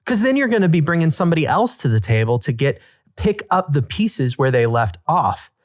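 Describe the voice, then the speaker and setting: A man co-hosting a casual podcast, talking in an animated, emphatic way with slangy exclamations and repeated phrases. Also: fairly high pitch, fairly quick, no echo, clean studio sound. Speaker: podcast co-host. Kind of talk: casual podcast banter and commentary.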